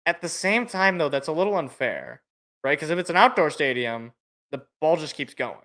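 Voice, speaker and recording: A man with a loud, worn-out voice talks sports in casual podcast chat. He gets excited and trips over repeated words, his tone bright and his pitch swinging widely. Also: normal speed. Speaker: podcast co-host.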